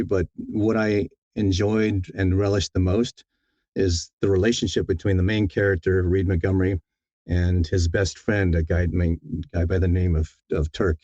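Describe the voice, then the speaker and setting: A man's voice: low voice, warm tone, dry recording, dull audio. A man being interviewed as an audiobook narrator, talking casually and thoughtfully, often repeating words as he feels his way along.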